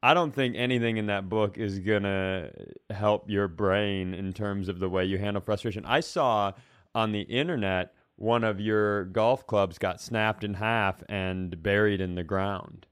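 A man's voice: fairly low pitch, steady tone, unhurried, smooth, no room sound, clean studio sound. An American man co-hosting a comedy podcast, chatting and telling stories in a casually profane, wry way. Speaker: podcast co-host. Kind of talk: casual comedic chat and storytelling.

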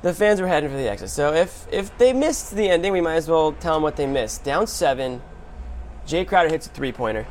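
A man hosting a sports show, recapping basketball in a casual, animated storytelling way with emphatic short phrases. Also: fairly high pitch, a little fast, slight background noise.

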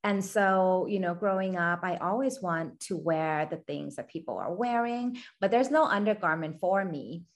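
Woman from Thailand, a founder and guest; low voice, earnest, steady. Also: moderate pace, hardly any pauses. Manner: earnest, steady, many "you know" fillers. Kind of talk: podcast interview.

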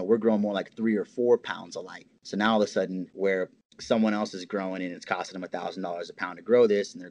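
A man in his thirties, originally from Hawaii, talking casually as a podcast guest and explaining things in long, animated runs. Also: deep voice, a little hiss or hum. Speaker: podcast guest, cannabis grower. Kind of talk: casual interview chat.

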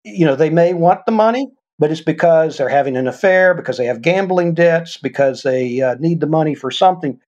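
A man's voice: on the high side, lively intonation, brisk, few pauses, darkish tone, dull audio. An older man, a guest on an interview show, earnestly explaining things through examples and stories. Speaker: guest, former intelligence officer. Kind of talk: conversational storytelling interview.

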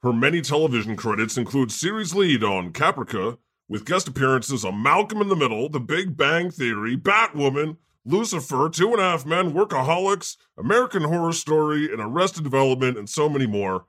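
A man hosting a comedy podcast in the persona of God, joking in a deadpan, self-mocking way. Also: fairly high pitch, fairly quick, hardly any pauses, no echo, studio quality. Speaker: podcast host.

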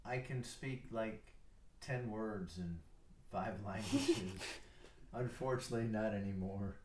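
A man's voice: lowish voice, sing-song, slow, echoey room, recorded from a distance, noisy background. A retired older man chatting casually as a guest, self-deprecating and rambling a little. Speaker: guest, retired airline pilot. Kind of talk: casual chat.

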